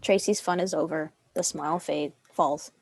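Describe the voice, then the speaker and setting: A woman's voice: deep voice, fairly even intonation, moderate pace, breathy edge. A woman delivering scripted narration, reading stage directions.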